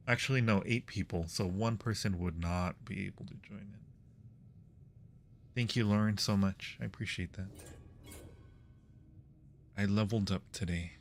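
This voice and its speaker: A man hosting a livestream, chatting casually with his viewers while playing games. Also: lowish voice. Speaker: livestream host.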